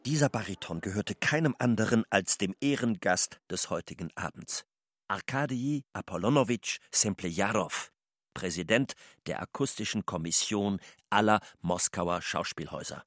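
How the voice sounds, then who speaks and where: A man's voice: lowish voice, normal speed, a bit bright, no echo, studio quality. A man narrating a radio drama from a script as a storyteller.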